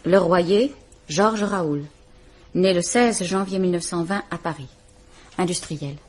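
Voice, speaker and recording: A woman's voice: lowish voice, a little slow, a little mellow. An actress playing a frightened, dignified woman of about thirty in a vintage radio drama, composed, formal and restrained. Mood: formal, factual. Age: 30s. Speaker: woman reporting her husband.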